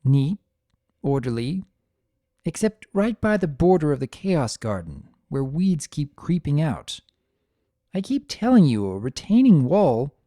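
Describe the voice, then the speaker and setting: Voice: mid-range voice, very animated, unhurried, mellow, no echo, studio quality, voice pushed up. A performer voicing a stubborn, skeptical young mare pony in a fan fiction reading, insistent and protesting.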